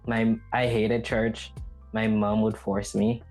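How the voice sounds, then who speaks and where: A man's voice: on the low side, flat delivery, slow, darkish tone, soft background music. A man from Haiti hosting a podcast, talking casually and reflectively about personal experience. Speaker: podcast host.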